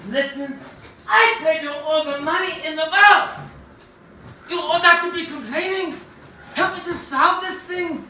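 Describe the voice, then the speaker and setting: A woman's voice: very high-pitched, unhurried, pushed and bright, full character voice. A woman acting an obsessed, secretive professor in a stage version of a radio play, with a dramatic, emphatic delivery. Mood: flustered, insistent. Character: obsessed, secretive professor hunting a buried ancient machine. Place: theatre stage, picked up from afar. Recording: roomy, distant stage recording with faint noise.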